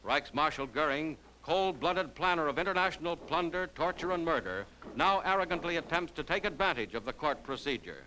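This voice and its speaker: A man's voice: on the high side, lively intonation, brisk, hardly any pauses, sharp tone, a little hiss or hum, poor audio. A man delivering dramatic, emphatic scripted narration on a 1940s newsreel soundtrack.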